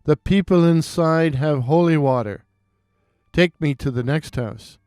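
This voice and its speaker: Man voicing a slow, commanding walking corpse. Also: on the high side, a little mellow, clear, resonant tone, dry recording, clean studio sound, natural voice. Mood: grim command. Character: commanding male walking corpse, blood-drinking and sinister.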